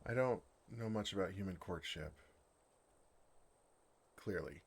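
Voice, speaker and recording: Man playing a bashful, low-voiced lovestruck dragon. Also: measured pace, breathy edge. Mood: tender, earnest. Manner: hesitant, tender, softly awkward. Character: bashful, earnest male dragon shyly in love. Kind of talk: ASMR romantic fantasy roleplay.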